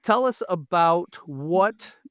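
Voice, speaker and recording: A man hosting a casual interview, speaking haltingly as he searches for words. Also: sits high, lively intonation, clean tone, dry recording. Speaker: podcast host, interviewer.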